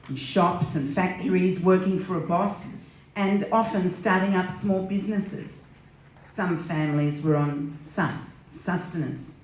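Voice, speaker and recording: A woman giving measured, scripted narration of migrant history at a live indoor event. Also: fairly low pitch, a little mellow, natural voice. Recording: echoey hall, distant from mic.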